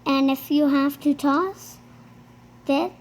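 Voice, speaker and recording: Young girl, high voice, beginning reader, very slow read-aloud, stumbles on tricky words and repeats them. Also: steady tone, darkish tone. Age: child. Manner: sounds out hard words, repeats after a helper. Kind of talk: halting read-aloud of a rhyming storybook.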